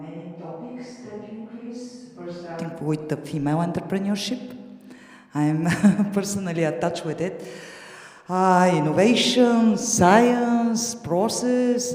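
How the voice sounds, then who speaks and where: A man with a high-pitched voice moderates a conference session. He speaks halting, effusive English with non-native phrasing. A long hall reverb surrounds his voice. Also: a little mellow. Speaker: event moderator.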